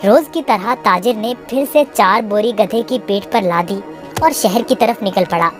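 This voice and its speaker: A woman's voice: medium pitch, normal speed. A woman reading a scripted moral tale for children as the storyteller. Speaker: narrator. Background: music.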